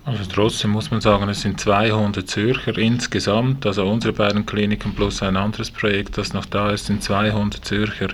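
A man's voice: on the low side, level tone, brisk, hardly any pauses. A man appearing as a panel guest, explaining his project in unscripted speech. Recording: faint background noise.

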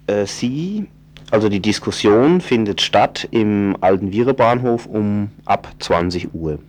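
A man presenting a radio events calendar, reading the listings in an unhurried, hesitant way with fillers and self-corrections. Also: fairly low pitch, lively intonation, darkish tone.

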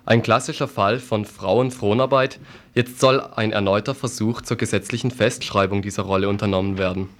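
Guy with lowish voice reading scripted news commentary, presenter type.

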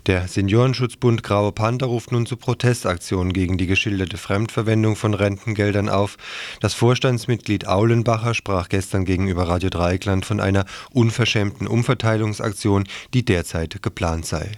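A man's voice: lowish voice, fairly even intonation, moderate pace, no room sound. A man working as a radio reporter, reading a scripted news report.

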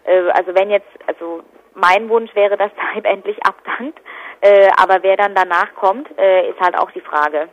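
A woman's voice: mid-range voice, fairly even intonation, normal speed. A woman giving spontaneous interview answers as an activist. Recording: dull, lo-fi telephone line.

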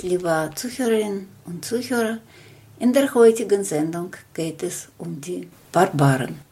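A woman hosting a radio interview, presenting in a measured, formal way from prepared notes. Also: lowish voice. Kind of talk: semi-scripted radio presenting.

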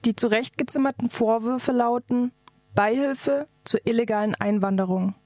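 A woman's voice: on the high side, moderate pace, a little mellow, dry recording. A woman activist reading out a scripted political speech. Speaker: activist speaker.

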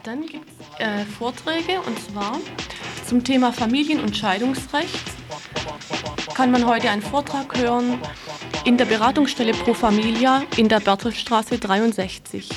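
A woman presenting event listings on the radio, reading informally and a bit haltingly. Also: fairly high pitch. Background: music.

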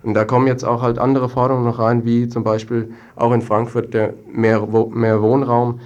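A young male student activist answers interview questions in a medium-pitched voice with very little melody. He speaks spontaneously, often stalling mid-phrase before carrying on. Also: little pitch movement, normal speed, a little mellow, lo-fi sound. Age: young adult.

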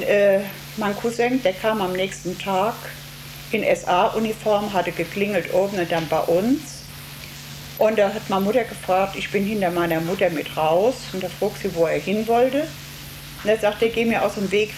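A woman in her seventies or older giving a personal recollection as an eyewitness, retelling events plainly with remembered dialogue. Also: medium pitch. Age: 70s or older. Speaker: eyewitness, concentration camp survivor. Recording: noisy interview recording with steady hiss.

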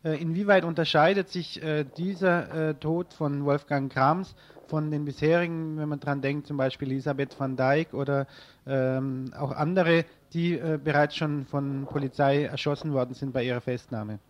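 A man's voice: on the high side, steady tone, normal speed. A man presenting a radio news magazine and putting questions as interviewer.

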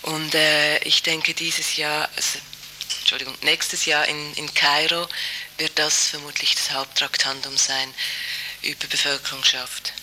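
Sharp-voiced woman on hissy tape. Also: deep voice, flat delivery, unhurried. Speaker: interviewee from an activist women's group. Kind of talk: interview, explaining political arguments. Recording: hissy, noisy interview tape.

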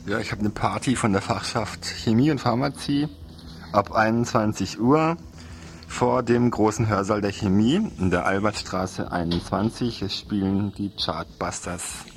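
Lower-voiced man announcing events, faint noise. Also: measured pace.

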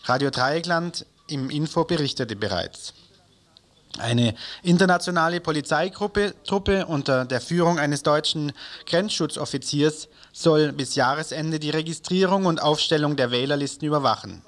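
A man from reading a community radio news bulletin in a studio, measured with the odd slip and self-correction. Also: fairly high pitch, a bit bright. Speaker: news presenter.

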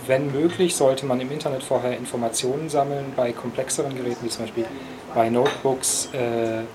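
A man's voice: medium pitch, rough recording. A man explaining how repairs work, thorough and full of hesitations. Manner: explains in detail with many hesitations. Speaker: repair expert. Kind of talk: interview answers.

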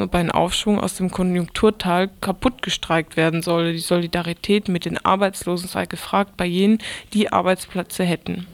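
A woman's voice: mid-range voice, dry recording. Female radio news presenter, scripted delivery, very dry close studio sound.

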